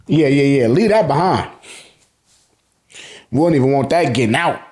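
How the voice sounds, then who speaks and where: An American man giving an excited, slangy fan recap, emphatic and repeating phrases for effect, with casual swearing. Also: medium pitch, moderate pace. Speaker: host of an anime and manga review channel.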